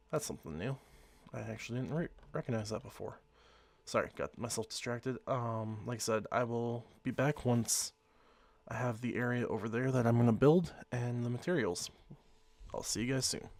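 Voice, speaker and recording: A man with a slightly hoarse voice from being sick, casually talking through his gameplay in a rambling, easygoing way. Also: mid-range voice, a little mellow, dry recording. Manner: rambling, easygoing, self-correcting. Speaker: let's play host.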